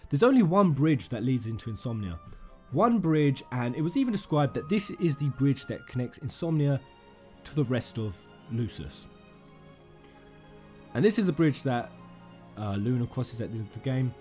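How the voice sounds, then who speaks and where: A man hosting a fan theory video, rambling and emphatic, addressing his viewers directly in an excited commentary style. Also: mid-range voice, normal speed. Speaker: gaming YouTuber, host. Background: music under the voice.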